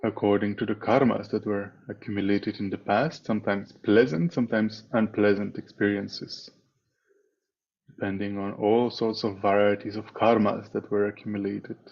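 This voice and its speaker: A man leading a guided meditation as a teacher, speaking in a calm, measured way with long pauses between phrases. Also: lowish voice, vocal fry. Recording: dull, muffled online meeting audio.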